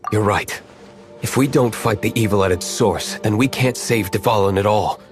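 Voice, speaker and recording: A man's voice: lowish voice, moderate pace, over music, lightly acted. An actor playing an aloof, brooding young nobleman in an anime-style game, cool, curt and serious. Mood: grimly resolute.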